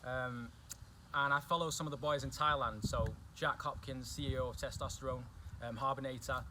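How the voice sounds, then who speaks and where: A man's voice: mid-range voice, steady tone, a little fast, noisy background. A young man with an English accent, chatting casually as a guest and often repeating himself. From England. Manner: chatty, repeats himself, says "do you know what I mean". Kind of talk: casual chat.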